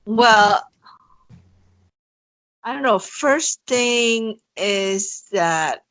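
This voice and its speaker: A woman's voice: a little high, animated, drawn-out words, fairly bright tone, no echo, low-quality recording. A woman from Thailand with a Thai accent, whose first language is Thai, chatting as a podcast guest in a hesitant way with many fillers and restarts and frequent laughs. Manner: laughs often between phrases. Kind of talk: casual interview conversation.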